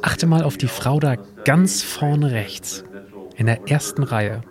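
A man's voice: medium pitch, slow, crowd noise. A man hosting a semi-scripted audio play, explaining things to a companion and to listeners. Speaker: podcast host and co-creator of an exhibition audio play.

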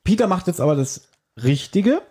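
A man with a mellow, husky voice hosting a casual podcast with playful, teasing banter. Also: sits high, very animated, moderate pace. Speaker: podcast host. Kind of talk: casual, joking podcast chat.

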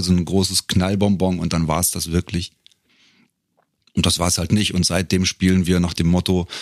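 Deep, creaky middle-aged man. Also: no echo, studio quality. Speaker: podcast guest, singer and professional voice-over speaker. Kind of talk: casual conversational storytelling.

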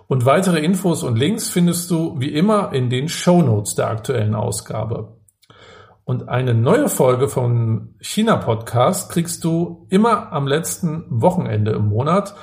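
A man's voice: mid-range voice, expressive, a little slow, a little mellow. Dry, teasing male podcast host. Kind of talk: casual podcast chat.

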